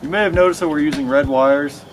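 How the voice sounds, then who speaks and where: A man's voice: fairly high pitch, fast. A man in his twenties giving a casual how-to explanation to his viewers. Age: 20s. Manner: casual, addresses viewers as guys. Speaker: host. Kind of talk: how-to vlog, explanatory talk to camera. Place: outdoors by and inside a parked or moving car. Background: music.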